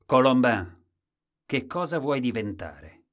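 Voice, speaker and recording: A man's voice: medium pitch, lively intonation, takes it slowly, fairly bright tone, pitched above the performer's own voice. Man acting a commanding, emphatic storybook king.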